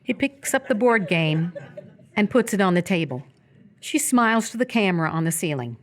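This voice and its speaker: A woman narrates a radio play with a somewhat low voice. She reads the stage directions in a measured, descriptive way, setting out each action in turn. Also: expressive.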